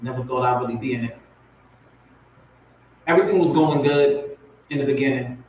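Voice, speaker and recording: A man's voice: mid-range voice, moderate pace, a little mellow, highs cut off. A man's voice comes through very echoey and set back from the mic. He speaks as a spoken word poet giving informal personal testimony, repeating phrases for emphasis, with some noise on the recording. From raised in Compton, California and Portmore, Jamaica. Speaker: spoken word poet, guest on a talk show. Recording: very echoey, distant, noisy audio.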